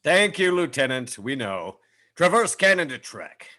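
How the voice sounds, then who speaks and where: An actor playing a pompous, duty-bound alien spaceship officer in a formal, declamatory way at a comedy table read. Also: male voice, medium pitch, sing-song, unhurried, sharp tone. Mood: commanding. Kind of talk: comic sci-fi script table read.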